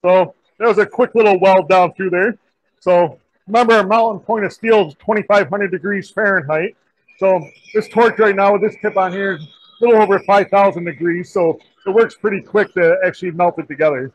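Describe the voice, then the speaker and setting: A man in his forties gives a hands-on welding lesson in a school metal shop, talking in a relaxed, folksy way full of little fillers. His voice sits a bit high for a man. The sound is dry and close, with little room echo. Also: brisk, lo-fi sound. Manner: relaxed, folksy, many "kinda" and "you know" fillers. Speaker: diesel technology teacher.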